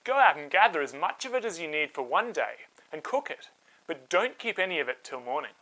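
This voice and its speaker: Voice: mid-range voice, big pitch swings, a little fast, sharp tone, rough recording. A storyteller voicing a firm leader who plainly instructs his people in a children's Bible story. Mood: instructing.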